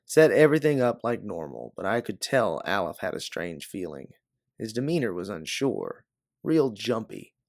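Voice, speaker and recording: Man reading as a guarded, low-voiced female bounty hunter. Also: big pitch swings, moderate pace, gravelly, no echo, studio quality. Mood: recounting, guarded. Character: guarded, hard-bitten young female bounty hunter, vengeful. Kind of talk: audiobook character dialogue.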